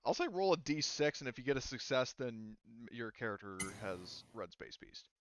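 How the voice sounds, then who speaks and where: Halting man running a game, smooth clear voice. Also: medium pitch, big pitch swings, a bit bright, no echo. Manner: halting, thinking aloud. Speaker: game master. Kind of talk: casual tabletop game narration.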